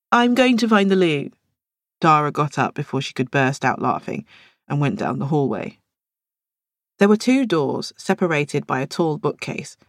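A woman's voice: low voice, dry recording, studio quality. A woman reading scripted fiction as an audiobook narrator.

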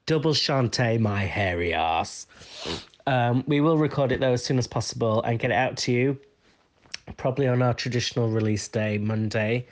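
A man with a stuffy nose from a cold, hosting a podcast in a chatty, giggly way full of ums. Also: mid-range voice. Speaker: podcast host. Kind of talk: casual interview chat.

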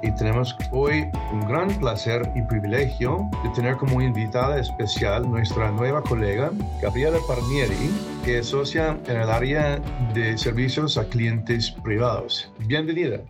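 A man whose first language is English, hosting a podcast in Spanish and interviewing a guest in a formal, deliberate way. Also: medium pitch, over music. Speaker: podcast host, lawyer.

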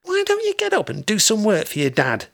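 A man's voice: sits high, huge pitch swings, fairly quick, vocal fry, voice pushed up, heavily acted. A man voicing a nagging, disapproving middle-aged mother with a Northern English accent in an audiobook. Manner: scolding, shouting.

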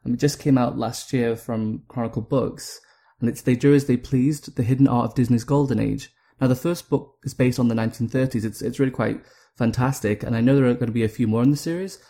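A man hosting a podcast, giving a prepared, smooth intro and asking interview questions. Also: mid-range voice, steady tone, brisk, warm tone. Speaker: podcast host.

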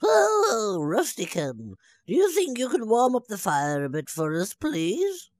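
Old storybook wizard, fussy, high-pitched, swooping, slow and fretful, voiced by a man. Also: huge pitch swings, no echo, full character voice. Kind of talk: storybook character reading.

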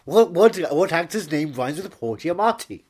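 A Welsh man trading absurd jokes and deadpan boasts in comic banter. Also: a little high, normal speed. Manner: playful, deadpan boasting, silly wordplay.

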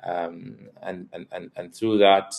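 A man from Zambia in his thirties, a college tutor, answering interview questions in a relaxed, hesitant way with many fillers and soft laughs. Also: sits low, takes it slowly, vocal fry.

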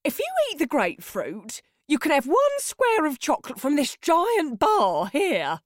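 A doting storybook mum, played by a woman, with a high, very lively voice. She pleads and coaxes slowly in sing-song encouragement, as if to a fussy child. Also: dry recording, clean studio sound, full character voice. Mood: coaxing. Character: doting, pleading mother coaxing her fussy son.

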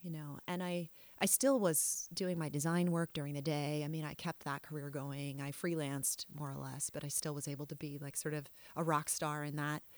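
A woman's voice: sits low, flat delivery, normal speed, few pauses, dry recording. A middle-aged woman telling her life story in an interview, reflective and frank, often trailing off and restarting her sentences. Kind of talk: candid personal storytelling.

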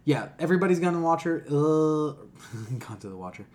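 A man's voice: fairly high pitch, animated, brisk, a little mellow. A man hosting a podcast in casual, opinionated chat, blunt, profane and emphatic in how he talks. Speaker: podcast host.

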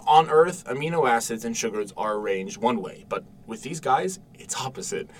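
A man playing an eager, boyish, gentle father in an audio drama, explaining things with hesitant enthusiasm. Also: mid-range voice, expressive, normal speed, natural voice. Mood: enthusiastic. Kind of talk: naturalistic audio drama acting. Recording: distant mic, noisy room sound.

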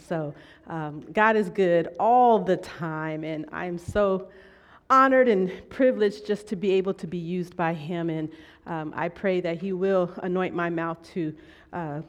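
A woman preacher giving a conversational sermon in an earnest, measured way, often checking in with her listeners. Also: fairly low pitch. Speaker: preacher teaching a church series.

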